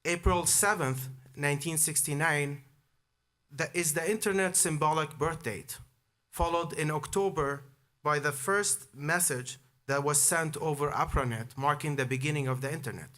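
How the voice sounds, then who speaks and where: A man's voice: a little high, moderate pace, a bit bright. A man in his thirties from Jordan delivering a prepared commencement speech built on his personal story. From Amman, Jordan. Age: 30s. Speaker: commencement speaker, alumnus.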